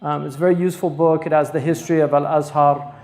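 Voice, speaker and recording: A man hosting a lecture, introducing a guest scholar in unscripted, halting talk with many pauses and fillers. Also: fairly high pitch, steady tone, darkish tone. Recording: echoey hall, distant mic.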